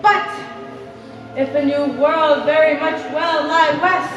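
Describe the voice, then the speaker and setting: A woman's voice: high voice, fairly even intonation, lo-fi sound, over music. A woman playing a scornful, exasperated Queen of Spain in a theatrical stage monologue. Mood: mocking. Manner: theatrical, scornful, deliberate delivery. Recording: echoey theater stage recording.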